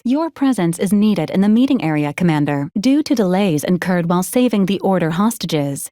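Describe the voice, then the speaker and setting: A dutiful female combat android from a video game, played by a woman. She speaks in a formal, clinical deadpan at a middling pitch, coldly polite even when she is being bluntly violent. The sound is close and dry, with no room around it. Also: dry recording, close to an everyday voice. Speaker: robotic companion to a commander. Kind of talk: scripted video game character lines.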